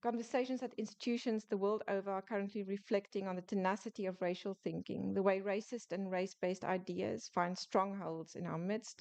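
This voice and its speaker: A woman author presenting her book in a prepared academic lecture, reading in a measured way with small restarts. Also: mid-range voice, vocal fry, a little mellow. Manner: measured, reads closely from a script with small restarts.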